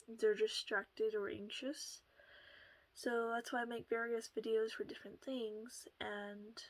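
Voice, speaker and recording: A woman with a soft voice that often slips into a half whisper, talking casually to her viewers through a headset at home, rambling a little and laughing softly. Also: fairly high pitch, rough recording. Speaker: channel host. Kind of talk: casual solo talk to camera.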